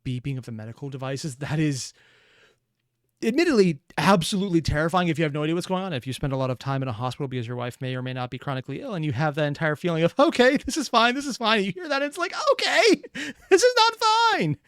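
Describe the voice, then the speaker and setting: A man's voice: on the high side, sing-song, quick pace, a bit bright, no echo, clean studio sound. A man giving casual, analytical reaction commentary, musing aloud as he thinks through what he watches. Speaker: reaction video host.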